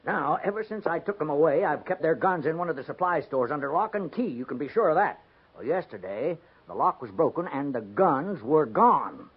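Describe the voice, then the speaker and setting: An actor playing a middle-aged, blustering and suspicious agency head, pompous and insistent, in a 1950s radio drama. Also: male voice, on the high side, animated, normal speed, husky. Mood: insistent, uneasy. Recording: tinny, lightly noisy 1950s radio recording.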